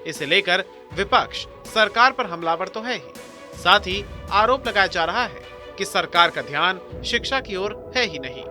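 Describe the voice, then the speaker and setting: A man's voice: high voice, animated, measured pace, sharp tone. A man reading a scripted news report as the narrator. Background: music bed.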